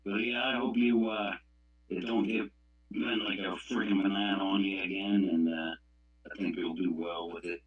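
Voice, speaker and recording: A man's voice: deep voice, level tone, moderate pace, breathy edge, narrow, tinny audio. A man chatting casually on a radio club net in a rambling, folksy way. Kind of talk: casual chat over a walkie-talkie app.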